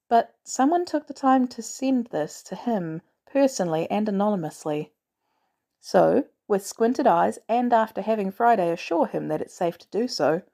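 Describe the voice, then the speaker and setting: Mellow-voiced woman narrating a story. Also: medium pitch. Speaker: audiobook narrator of fan fiction.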